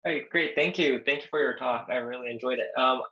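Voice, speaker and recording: Halting man asking a question, muffled. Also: medium pitch. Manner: hesitant, halting, many fillers. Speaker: audience member asking a question. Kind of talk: online academic Q&A. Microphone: video call.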